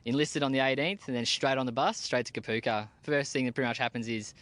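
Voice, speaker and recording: Australian soldier, plain-spoken and casual. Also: male voice, mid-range voice, steady tone, fast talker, fairly bright tone, dry recording. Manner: plain-spoken, casual slang with frequent fillers. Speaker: army team leader. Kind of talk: interview answers.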